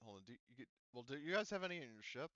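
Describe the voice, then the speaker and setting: A man's voice: medium pitch, big pitch swings, racing, fairly bright tone, no echo. A man acting as game master, talking casually through tabletop game options in rambling, hedging explanations, over a slightly dull voice-chat connection. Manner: rambling, hedging explanations full of "like" and "you know".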